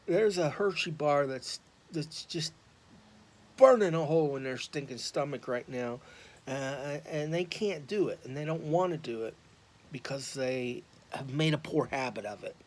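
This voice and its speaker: An American man talking off the cuff to viewers, rambling and halting but earnest and informal. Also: fairly high pitch. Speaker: YouTube vlogger and podcaster on addiction recovery. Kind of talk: impromptu, unscripted casual monologue to viewers. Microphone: phone. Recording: clear background noise, raw phone recording.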